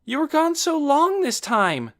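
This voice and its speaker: Man putting on a shrill, excitable little boy. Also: a little slow, heavily acted. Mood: eager. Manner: shrill, shouting, eager. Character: excitable young boy, adoring little brother.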